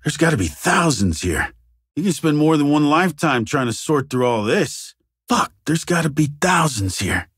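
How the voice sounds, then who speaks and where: Casting: a jaded tactical cop for a shooter game, husky and rough, casual wisecracks, lively pitch movement, very dry recording. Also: male voice, normal speed, dry recording, exaggerated voice. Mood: wry, uneasy. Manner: casual, muttered wisecracks with swearing. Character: jaded male police SWAT officer, wry and foul-mouthed. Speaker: video game squad member. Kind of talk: video game character barks.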